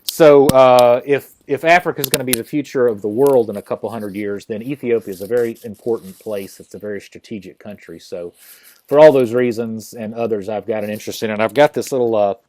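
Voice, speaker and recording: A man hosting a podcast interview, talking casually and haltingly with many fillers and restarts. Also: mid-range voice, darkish tone. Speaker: podcast host.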